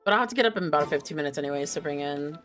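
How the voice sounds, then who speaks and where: Animated woman livestreamer chatting casually. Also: deep voice, racing, soft background music. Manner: giggly, playful, chatting to herself and viewers. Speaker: game streamer, host. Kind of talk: casual livestream chat with viewers.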